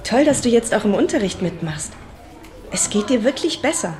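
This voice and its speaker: A woman playing an encouraging, soothing counsellor in a radio drama, reassuring and measured. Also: fairly high pitch, big pitch swings, a little mellow, faint music behind, a faint crowd.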